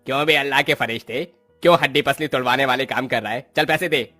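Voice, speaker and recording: A man's voice: medium pitch, quick pace, bright, forceful tone, dry recording, faint music behind, pitched above the performer's own voice. A man voicing an arrogant, threatening bully who barks in a haughty, menacing way.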